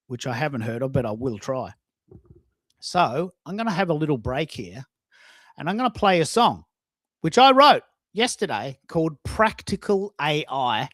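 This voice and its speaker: A man with a slightly high voice hosts a casual online talk show. He speaks emphatically and likes to repeat phrases for stress. The sound is close and dry. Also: dry recording.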